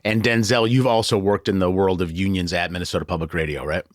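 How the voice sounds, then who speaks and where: A man's voice: sits low, steady tone, fast talker, no echo, clean studio sound. An American man hosting a conversational news podcast in a colloquial, joking way. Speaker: podcast host.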